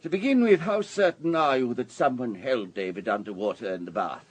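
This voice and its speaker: Rich older businessman in his 60s, radio play, husky voice, really swoopy pitch, worldly and chatty. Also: lively intonation, fairly quick. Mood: earnest, confiding. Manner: urbane, discursive, worldly. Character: wealthy older businessman, urbane, paternal ex-husband. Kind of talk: radio drama dialogue.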